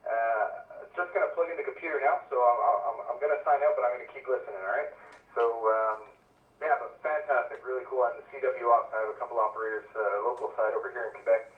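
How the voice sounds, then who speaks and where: Man, quick casual chat, ham radio caller, phone-like narrow band, roomy and distant. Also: mid-range voice, steady tone. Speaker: amateur radio operator calling in. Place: over an internet-linked ham radio connection.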